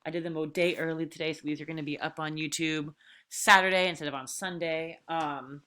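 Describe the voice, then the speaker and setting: A woman's voice: deep voice, steady tone, brisk, pushed and bright, clear, resonant tone. A woman teacher reading aloud and explaining an assignment to her students in an informal, direct way.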